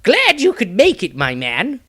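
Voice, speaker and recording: A man voicing a flamboyant, foolish male wizard, cocky, excitable and blustering, in a fantasy story. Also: measured pace, no echo, studio quality, exaggerated voice. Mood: cheerful, cocky. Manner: exclamatory, high-flown, blustering.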